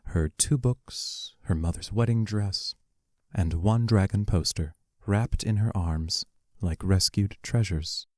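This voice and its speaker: A man's voice: on the low side, slow, darkish tone, no echo, clean studio sound. A man reading scripted stage directions as the narrator of an audio play.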